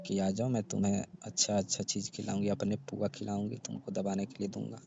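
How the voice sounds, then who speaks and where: A man's voice: fairly low pitch, level tone, fairly quick, vocal fry, a little mellow, a little hiss or hum. A man playing a sulky, distrustful young repairman who grumbles and complains in a staged comic phone call. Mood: aggrieved. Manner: complaining, grudging. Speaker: heater repairman. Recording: dull, dry lo-fi phone recording.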